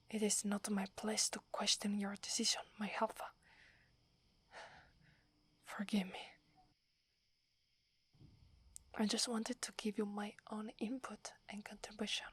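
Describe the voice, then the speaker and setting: A woman with an Italian accent playing a submissive, earnest female werewolf in a soft, breathy, hesitant ASMR whisper. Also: medium pitch, flat delivery. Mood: deferential. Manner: hesitant, respectful, measured.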